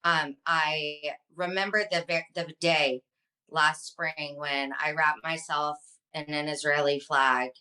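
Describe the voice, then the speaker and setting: Woman, 30s, interview guest: low, bright voice, passionate, filler-heavy delivery. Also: level tone, slow, poor audio. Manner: passionate, fluent, many ums. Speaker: guest, social media advocate. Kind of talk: earnest interview answers.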